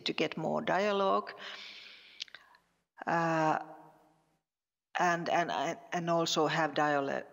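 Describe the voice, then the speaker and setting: A woman from Finland, giving a conference presentation in a halting way with many fillers and restarts. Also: low voice, creaky, fairly bright tone, in a large hall. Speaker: conference speaker.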